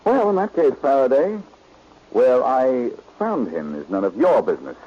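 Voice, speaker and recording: An actor playing a confident, glib, teasing amateur detective in a 1940s radio drama. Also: male voice, mid-range voice, sing-song, normal speed, background noise, natural voice. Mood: smug teasing. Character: wisecracking, confident amateur detective, adult man. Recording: muffled, dull vintage radio recording.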